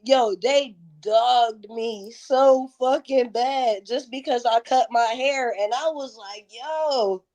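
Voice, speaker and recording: A woman's voice: a little high, slow, few pauses, darkish tone. A woman in her thirties telling stories candidly in a casual interview, with slangy, profane, emphatic phrasing. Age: 30s. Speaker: interview guest, music performer.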